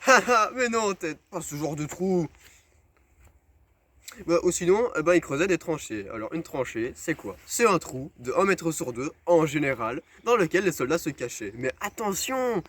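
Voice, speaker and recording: A man's voice: on the high side, lively intonation, moderate pace, fairly bright tone. A young man explaining history in a mock-educational comedy sketch, in a crude, swearing, schoolmasterly way. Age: teens or young adult. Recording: homemade video with faint noise.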